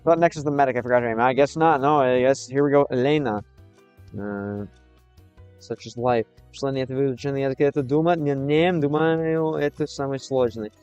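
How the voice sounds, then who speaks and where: American man streaming in halting Russian. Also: mid-range voice, a little mellow, clear, resonant tone, no echo, muffled recording, soft background music. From United States. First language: English. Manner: halting, mixes Russian and English, reads chat aloud. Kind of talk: casual live stream chat with viewers.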